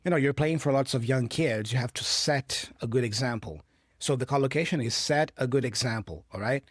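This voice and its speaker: Man, Brazilian Portuguese accent, English teacher, patient, explanatory, medium pitch, dry sound. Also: brisk, dry recording. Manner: patient, explanatory, checks in with "right?". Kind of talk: explaining a clip for learners.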